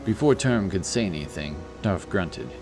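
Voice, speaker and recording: A man's voice: low voice, lively intonation, moderate pace. A man reading scripted fantasy narration as a storyteller, with music behind his voice.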